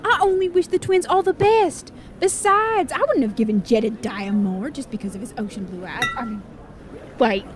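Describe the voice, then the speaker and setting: A woman's voice: a little high, normal speed, lightly acted. A woman playing a resentful, evasive outlaw forger in a western audio drama, theatrical in delivery, with background noise. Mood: defensive. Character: resentful, shifty Wild West outlaw forger.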